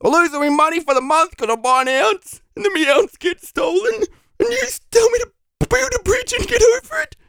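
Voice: mid-range voice, bright, forceful tone, no echo. Mouthy desperate aussie bloke, crude and whiny, ranting in slang, comedy drama, dry sound. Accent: Australian. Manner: crude, slangy, belligerent, whining. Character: loud, foul-mouthed, desperate Australian slacker man begging for work.

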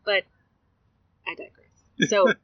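A woman's voice: mid-range voice, sing-song, takes it slowly, fairly bright tone, no echo, lo-fi sound. A woman guest whose first language is Spanish, chatting in a casual interview and often laughing at herself. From United States. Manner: chatty, laughing, self-deprecating.

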